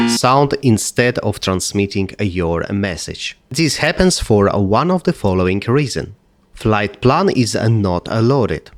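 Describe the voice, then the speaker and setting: A man narrating a software tutorial step by step, with frequent hesitations. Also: on the low side, no room sound. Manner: halting delivery with frequent hesitations.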